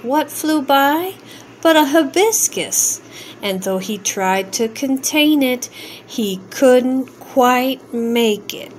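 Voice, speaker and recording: Female storybook reader, high pitch, unhurried and sing-song, expressive rhymes for children. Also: a little hiss or hum.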